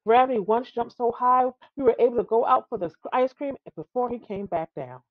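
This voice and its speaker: A woman's voice: a little high, expressive, a little fast, dry recording, narrow, tinny audio. A woman reading a children's tall tale aloud, expressively and with some stumbles over the words. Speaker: storybook reader.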